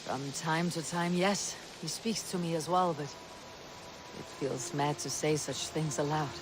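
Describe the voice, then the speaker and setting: An actress playing a steady warrior leader in a video game cutscene, talking in a plain, direct way. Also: female voice, sits low, slow pace, noisy background.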